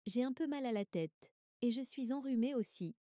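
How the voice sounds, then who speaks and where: A woman reading everyday French dialogues for learners, with clear, careful scripted delivery. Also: fairly high pitch, moderate pace, dark tone, no room sound, clean studio sound, close to an everyday voice.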